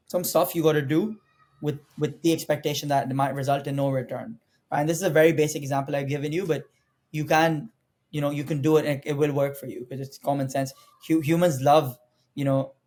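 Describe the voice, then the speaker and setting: A young man from Pakistan whose first language is Urdu, a podcast guest talking energetically and persuasively about careers. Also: a little high. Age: young adult. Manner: energetic, persuasive, talks directly to viewers. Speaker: guest, former international student. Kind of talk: casual podcast interview.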